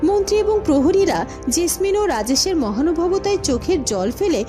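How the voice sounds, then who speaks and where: A woman narrates a cartoon folk tale in a scripted storybook style. Her voice is high and very lively in pitch, with loud music behind it. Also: fairly quick.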